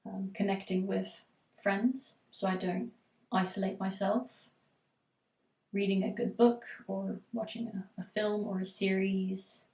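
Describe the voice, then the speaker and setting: A woman with a cold, her voice going hoarse, coaching a live Q&A and giving reflective, steady advice with frequent fillers. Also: medium pitch, measured pace, echoey room, distant mic. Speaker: eating disorder recovery coach.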